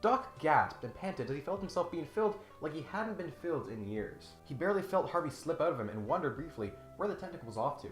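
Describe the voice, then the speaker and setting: Man, comedic video narrator, animated pitch, sardonic and exasperated, roomy sound, faint music behind. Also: fast, few pauses. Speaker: host of a comedic critique video. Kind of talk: scripted comedic narration with mock-serious readings and exasperated asides.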